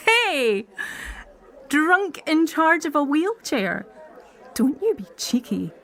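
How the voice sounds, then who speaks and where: A woman's voice: sits high, sing-song, slow pace, a bit bright, full character voice. An actress playing a prickly, sardonic woman in a naturalistic audio drama, speaking bluntly. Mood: teasing. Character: prickly, sardonic Scottish woman wheelchair user named Elsa.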